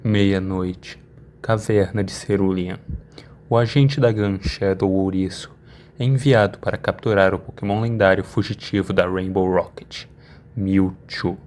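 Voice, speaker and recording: Low-voiced Brazilian man narrating dramatically. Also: darkish tone, slight background noise.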